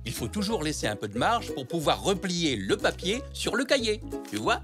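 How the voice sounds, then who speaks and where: Lively old cartoon grandpa zebra. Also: male voice, fairly high pitch, normal speed, over loud music. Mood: kindly, helpful. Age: older. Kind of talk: French children's cartoon dubbing.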